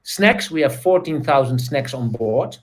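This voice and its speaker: A man with a Dutch accent, a guest in casual chat, talking haltingly with many fillers and restarts. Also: medium pitch, animated. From Netherlands.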